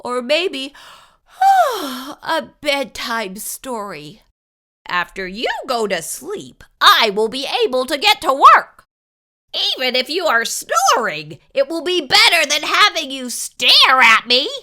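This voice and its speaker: Lady doing a bossy grumpy boy pig from a storybook, scolding, high and swooping all over. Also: big pitch swings, slow, bright, forceful tone, full character voice. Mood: exasperated. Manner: indignant, scolding, emphatic. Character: grumpy, bossy male pig writer in a picture book. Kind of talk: animated children's read-aloud character voice.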